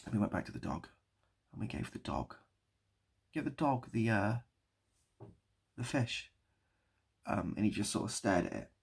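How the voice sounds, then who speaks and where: Husky, gravelly British man rambling. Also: on the low side, big pitch swings, moderate pace, long pauses. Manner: mock-nostalgic, repeats phrases and asks the listener to remember. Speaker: online video creator and host. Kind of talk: rambling improvised storytelling to camera.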